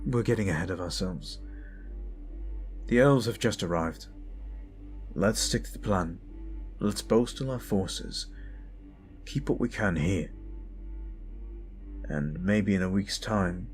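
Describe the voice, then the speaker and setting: Man acting a slow, solemn fantasy war commander. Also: low-pitched, soft background music. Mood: grave, resolute. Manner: measured, solemn, pausing. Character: grave, deliberate fantasy war commanders at the frontline. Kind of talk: fantasy roleplay audio drama.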